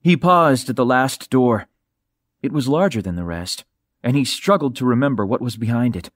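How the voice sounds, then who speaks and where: A man with a mid-pitched voice narrates a science fiction novel. He articulates carefully and tells it in a measured, dramatic way. The recording is close and dry, with no room sound. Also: lively intonation, dry recording. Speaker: audiobook narrator.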